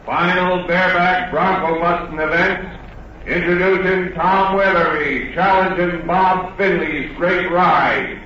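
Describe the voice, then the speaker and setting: American rodeo announcer, sharp high voice, slow drawn-out calls, muffled old radio. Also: male voice, fairly even intonation, a little hiss or hum, heavily acted. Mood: rousing. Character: loud, forceful male rodeo announcer.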